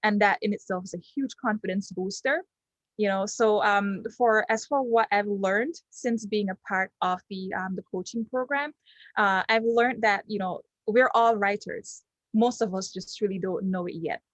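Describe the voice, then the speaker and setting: A woman's voice: medium pitch, moderate pace, dry recording, highs cut off. Hesitant Jamaican woman, dry sound. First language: Jamaican Patois. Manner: hesitant, many ums and restarts. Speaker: client giving a testimonial. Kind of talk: unscripted testimonial.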